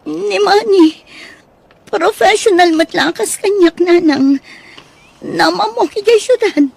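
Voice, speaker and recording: A woman's voice: very high voice, slow pace, creaky, heavily acted. A woman playing a headstrong, pleading young daughter in an audio drama, speaking in a whiny, emotive way. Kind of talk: Ilocano audio drama acting.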